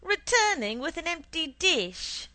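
A woman's voice: lowish voice, level tone, takes it slowly, no room sound. A woman voicing an exasperated, scolding mother in a storybook, sharply emphatic.

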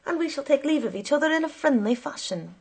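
A woman's voice: medium pitch, expressive, a little slow, a little mellow, slightly rough. A woman voicing a stubborn but polite taxi passenger in an audiobook, speaking in formal, insistent, emphatic phrases. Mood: politely firm. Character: indignant, stubborn, polite foreign taxi passenger, the story's narrator.

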